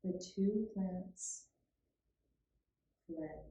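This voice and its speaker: Slow-spoken woman storyteller in an echoey room. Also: fairly low pitch, gravelly, warm tone. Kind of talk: scripted storybook narration for a puppet show. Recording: roomy, echoing room sound.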